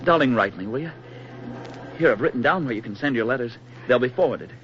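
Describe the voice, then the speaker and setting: Young soldier sweetheart, male actor: earnest, folksy, average pitch, 1940s radio romance, muffled slightly noisy recording. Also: expressive, quick pace, lightly acted. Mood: urgent, loving. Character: affable young American soldier, tender sweetheart.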